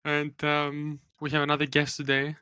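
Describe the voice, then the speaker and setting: A male podcast host speaks in an even, level tone with little rise and fall. His voice is bright and a little tense, and it sounds dry and close. He talks in a measured, somewhat halting way. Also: on the high side, clean tone, dry recording. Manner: measured, slightly halting, with fillers. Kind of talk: casual podcast chat.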